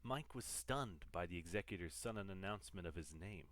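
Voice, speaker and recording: A man's voice: sits low, animated, a little fast, a bit bright, slight background noise. A man narrating an audio drama from a script, in the role of storyteller.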